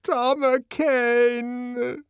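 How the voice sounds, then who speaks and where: A man voicing a desperate, wounded middle-aged woman in a storybook reading, shrill and pleading. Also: sing-song, measured pace, clean tone, no echo, clean studio sound. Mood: anguished pleading. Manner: pleading, wailing.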